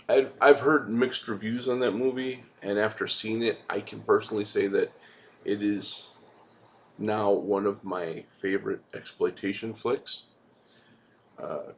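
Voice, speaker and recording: A man's voice: lowish voice, a little hiss or hum. A man hosting a movie review vlog, rambling casually in an informal, laid-back way with some swearing.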